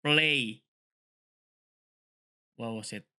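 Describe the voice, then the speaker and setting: Male, very bright forceful voice, read-along host, casual, repetitive. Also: mid-range voice, drawn-out words, clear, resonant tone. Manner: rambling, repeats phrases. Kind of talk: book reading mixed with casual commentary.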